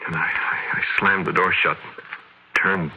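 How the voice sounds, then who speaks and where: An actor playing a shaken, haunted man who confides a ghostly encounter in halting, emphatic phrases, in the style of old-time radio horror. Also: low voice, fairly even intonation, background noise. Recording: vintage radio recording.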